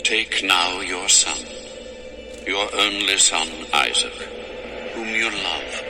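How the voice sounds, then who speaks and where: A man playing God as a solemn, commanding deity in a dramatized scripture recording, speaking gravely and with measured weight. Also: deep voice, expressive. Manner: slow, grave, measured delivery. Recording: music under the voice.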